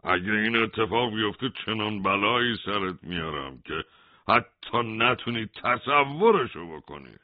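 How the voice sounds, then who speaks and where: A man's voice: on the low side, expressive, no echo, phone-quality sound, voice pushed up, lightly acted. A man doing a scornful prison major. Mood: threatening. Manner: scornful, taunting. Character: mocking sleepy male prison major.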